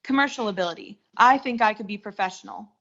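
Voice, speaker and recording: A woman's voice: medium pitch, fast talker, gravelly, fairly bright tone. Teen girl acting a defiant, ambitious young woman, muffled. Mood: defiant, bitter. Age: teenager. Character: ambitious, defiant young woman job seeker, narrating her story. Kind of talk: 1940s suspense radio acting. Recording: muffled home voice track, music behind.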